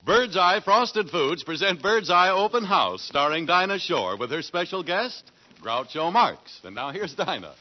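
A jovial male announcer with a booming 1940s radio delivery. His pitch sits high and swings widely, and the recording is muffled like an old broadcast. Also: big pitch swings, unhurried.

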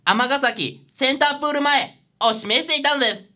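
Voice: sits high, normal speed, sharp tone. An actor playing a meek, hapless, polite male junior detective sidekick in a radio drama. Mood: eager.

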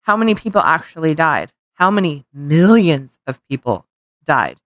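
A lower-pitched woman in middle age co-hosts a casual podcast, rambling and circling back on herself as she vents. The recording is dry and close. Her talk is peppered with restarts and the odd curse. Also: sing-song, moderate pace, a bit bright, no echo. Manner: heated, rambling, swears, frequent restarts. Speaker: podcast co-host. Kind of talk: casual opinion chat.

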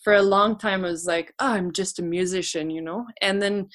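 A woman's voice: medium pitch, fairly even intonation, fairly quick, fairly bright tone. Hesitant woman singer chatting. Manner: hesitant, many restarts and laughs. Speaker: podcast guest, singer. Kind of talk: casual conversation.

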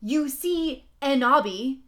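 Woman doing an old male wizard headmaster, raised lively pitch, portentous, drawn-out, mock-profound, grandiose.

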